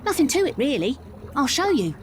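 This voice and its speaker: An actress playing a tough, sarcastic young rat boat captain with a British accent, brash and no-nonsense, in a video game. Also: female voice, high-pitched, big pitch swings, a little fast, background noise. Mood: cocky, sarcastic.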